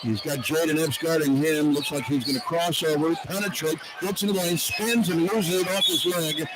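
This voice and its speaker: A man's voice: on the high side, normal speed, a crowd in the background, poor audio. A man calling live basketball play-by-play on the radio, describing the action in short, clipped phrases. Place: indoor basketball arena. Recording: radio broadcast over loud arena noise. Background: loud arena ambience.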